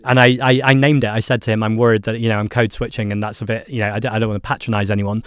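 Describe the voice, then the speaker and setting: A man's voice: lowish voice, clear, resonant tone, dry recording, clean studio sound. A man from Manchester with a Mancunian accent, a guest on a podcast talking fluently and animatedly in casual conversation. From Manchester, England. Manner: fast, fluent, animated with laughs and asides. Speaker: guest politician.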